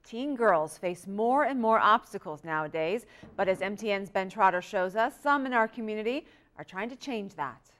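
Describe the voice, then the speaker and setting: A woman's voice: medium pitch, animated, moderate pace, fairly bright tone. A female news anchor with an American accent delivers scripted stories in a mid-range voice. She reads as a presenter would in a local newscast.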